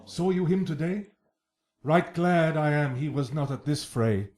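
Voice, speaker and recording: A man's voice: fairly high pitch, expressive, measured pace, a little mellow. An actor playing a grave, worried noble father in a classical Shakespearean radio drama. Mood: troubled, grave.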